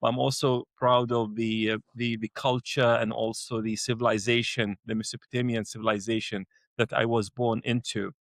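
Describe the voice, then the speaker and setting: A man's voice: medium pitch, level tone, normal speed, hardly any pauses, no room sound. A middle-aged man from Iraq talking in a thoughtful conversation, with frequent restarts and fillers. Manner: hesitant, many restarts and fillers. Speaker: educator, co-host. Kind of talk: conversational dialogue.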